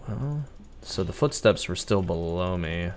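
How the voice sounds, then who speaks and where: A man livestreaming a video game with casual, rambling, halting commentary. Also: low-pitched, animated. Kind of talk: casual live gameplay commentary.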